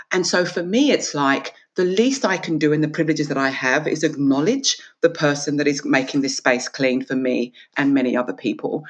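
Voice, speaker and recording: A woman from Australia, an author and guest, talking earnestly and with emphasis in an interview and acting out small dialogues. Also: very deep voice. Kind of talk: reflective interview conversation.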